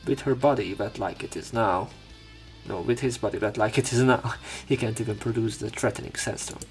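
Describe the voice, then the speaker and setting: A man with a low voice narrates a visual novel as a let's player. He reads the story aloud and breaks off to remark on it, with music playing underneath. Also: brisk.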